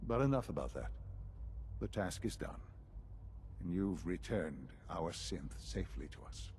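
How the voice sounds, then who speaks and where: An actor playing a calm, aging scientist leader in a video game, speaking in a measured, formal, paternal way. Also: male voice, deep voice, slight background noise. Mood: composed, grave. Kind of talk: video game character dialogue.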